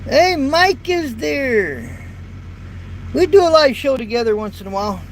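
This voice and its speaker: A man's voice: very high voice, very animated, a little slow, low-quality recording. A man in his fifties, a truck driver, chatting casually to viewers from his parked truck cab in a folksy, rambling way. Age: 50s. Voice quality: light voice. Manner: rambling, folksy, repeats words. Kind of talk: casual live chat to viewers. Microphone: built-in phone or camera mic. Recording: faint road and traffic noise.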